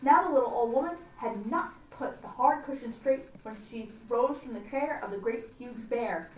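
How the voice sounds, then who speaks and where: A woman reading a children's story aloud for a library audience, deliberately and with small stumbles. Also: a little high. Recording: echoey room, distant mic, faint noise.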